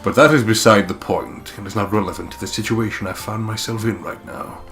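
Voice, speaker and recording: A man reading the inner monologue of a weary, exasperated married businessman in a measured, brooding way. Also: fairly low pitch, fairly even intonation, fairly bright tone, faint music behind. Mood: torn, wry. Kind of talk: dramatic visual novel reading.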